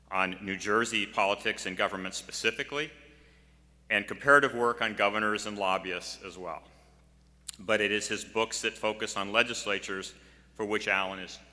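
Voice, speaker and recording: Older male scholar delivering a formal tribute, very bright projected voice on the low side, hall reverb. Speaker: political science scholar, memorial speaker. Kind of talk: prepared tribute speech. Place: large music hall, at a memorial event.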